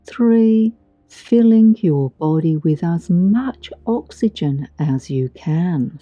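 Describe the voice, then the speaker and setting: A woman guiding a meditation in a soothing, gentle, deliberate way with long pauses, recorded with a muffled sound. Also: on the low side, lively intonation, dry recording. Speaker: meditation guide. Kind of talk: guided meditation narration. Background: background music.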